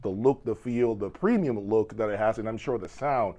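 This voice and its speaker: A man's voice: on the low side, normal speed, mellow. A man hosting an on-location product interview, speaking enthusiastically with salesman-like emphasis. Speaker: video channel host interviewing exhibitors. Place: hotel exhibit room at an audio show.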